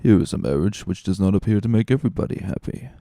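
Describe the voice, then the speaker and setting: Man playing a sly, scornful schemer, creaky voice. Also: fairly low pitch, animated, warm tone, no room sound, studio quality. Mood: sly, goading. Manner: sly, condescending, measured. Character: cunning, scornful, smooth-talking male schemer.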